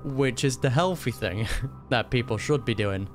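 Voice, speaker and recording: A man giving informal play-along commentary on a game, reacting out loud. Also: mid-range voice, measured pace. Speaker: gaming reaction streamer. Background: game music.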